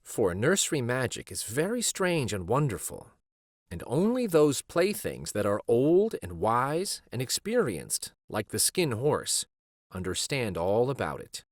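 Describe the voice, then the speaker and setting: Guy reading a kids story out loud, super animated intonation, deliberate, dry close sound. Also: mid-range voice, no echo. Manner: deliberate, expressive. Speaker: children's story reader. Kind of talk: expressive storybook read-aloud narration.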